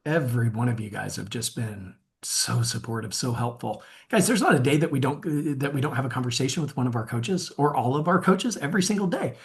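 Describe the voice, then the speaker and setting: A man's voice: mid-range voice, animated, fairly quick, gravelly, hardly any pauses. A man coaching in a webinar, upbeat, asking viewers to rate themselves. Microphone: webinar mic.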